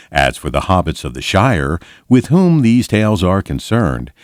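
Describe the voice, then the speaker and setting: A man reading a storybook aloud as narration, in a measured, expressive storytelling manner. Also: fairly low pitch, no echo, studio quality. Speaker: volunteer reader.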